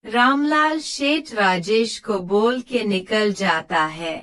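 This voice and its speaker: A woman's voice: mid-range voice, expressive, slow pace, fairly bright tone. A woman giving scripted narration for a children's moral story.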